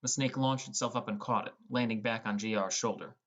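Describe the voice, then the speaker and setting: A man's voice: on the low side, steady tone, fairly quick, a bit bright, lo-fi sound. A man reading scripted first-person narration as the narrator of an audiobook.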